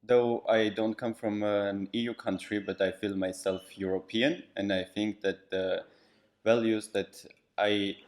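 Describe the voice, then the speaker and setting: A man's voice: lowish voice, level tone, a little slow. A man from Armenia speaking earnestly in an interview, listing the values he holds. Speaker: event participant. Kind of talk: casual on-camera interview.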